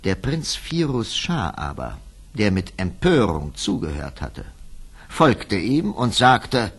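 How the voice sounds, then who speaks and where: A man narrating a children's fairy tale from a script in a dramatic, measured storytelling manner. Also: on the low side, very animated, vocal fry, husky.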